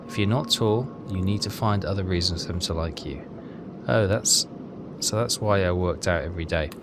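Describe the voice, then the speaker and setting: A man giving casual game commentary and reading text aloud, hesitant and repeating himself as he thinks aloud. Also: low voice. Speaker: let's player. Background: game music.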